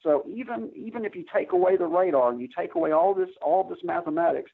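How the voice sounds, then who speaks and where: Husky higher-voiced man on phone-like line. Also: lively intonation, fast. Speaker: interview guest, air traffic controller. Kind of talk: conversational technical explanation. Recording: narrow, tinny phone-like line.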